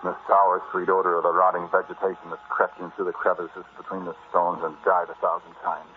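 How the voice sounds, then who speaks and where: Guy playing a wry explorer husband in an old radio horror play, real deep, hiding fear, noisy old recording. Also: level tone, a little fast, phone-quality sound, natural voice. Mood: haunted. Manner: wry, conversational, dramatic storytelling. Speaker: narrator and lead actor. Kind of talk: old-time radio drama acting.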